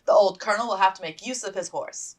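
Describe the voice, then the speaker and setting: A woman voicing a brisk, commanding and effusive officer's wife in a period storybook reading. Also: medium pitch, pitched above the performer's own voice, lightly acted. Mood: gushing.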